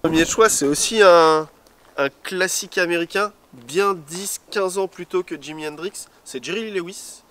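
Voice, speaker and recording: A fairly high-voiced man chats loosely about cars and music, joking and rambling with plenty of hesitations. He sounds set back from the microphone, with faint outdoor noise around him. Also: moderate pace, far from the mic. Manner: relaxed, rambling, joking, with frequent "euh". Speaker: co-host.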